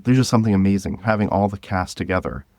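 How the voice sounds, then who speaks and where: Low-voiced man chatting as podcast guest. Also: fast, a little mellow, no echo. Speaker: podcast guest, drama creator. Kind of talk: casual interview chat.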